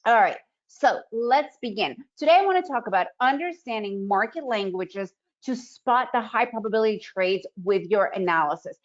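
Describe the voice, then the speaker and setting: A middle-aged woman whose first language is Russian leads an online trading presentation in an energetic, motivational way. Her voice sits a little high. The webinar audio comes through slightly dull and lo-fi. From Soviet Union. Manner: energetic, motivational, conversational with frequent "right?".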